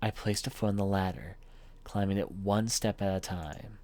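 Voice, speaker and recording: A man's voice: sits low, fairly even intonation, normal speed, dark tone. A man reading a horror visual novel aloud as a let's player, in dramatic first-person story narration. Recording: music behind the voice. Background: game music.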